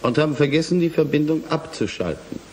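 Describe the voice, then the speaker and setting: An actor playing a sceptical, formal state prosecutor who questions witnesses in measured phrases in a radio drama. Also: male voice, on the high side, lively intonation, natural voice. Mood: probing. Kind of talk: German science fiction radio drama acting. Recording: hissy audio drama recording.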